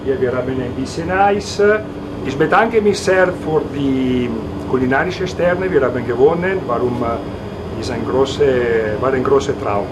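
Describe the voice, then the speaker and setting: An Italian man, a native Italian speaker, giving an interview about his ice cream shop in halting, proud German. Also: medium pitch, background noise. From Italy.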